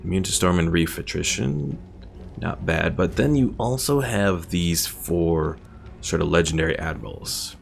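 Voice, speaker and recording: A man's voice: low-pitched, lively intonation, measured pace, gravelly, soft background music. A man giving casual gameplay commentary as a streamer, musing and thinking aloud as he goes. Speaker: game streamer.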